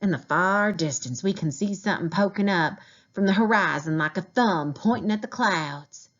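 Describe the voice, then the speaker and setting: A woman's voice: lowish voice, normal speed, highs cut off. A woman reading a children's diary novel aloud as a teacher would, in storybook narration.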